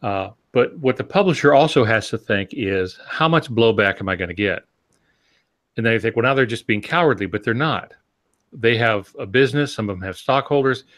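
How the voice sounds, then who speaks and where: A man's voice: medium pitch, moderate pace, a bit bright. A man in a casual discussion, explaining step by step and posing rhetorical questions as he goes. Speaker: podcast panelist.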